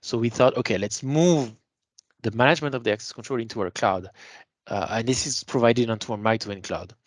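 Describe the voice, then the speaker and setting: A man with a mid-pitched voice presents a product webinar in an informal, explanatory way. He leans on key words as he makes his sales points. The sound is dry, with very little room in it. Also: brisk, no echo.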